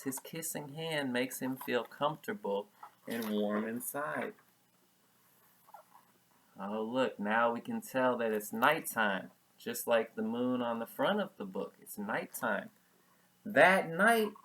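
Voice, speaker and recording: Slow-paced man reading aloud to young children. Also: mid-range voice, fairly bright tone. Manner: simple explanatory, repeats key words, addresses children. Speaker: preschool teacher. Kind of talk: read-aloud of a picture book with explanations for young children.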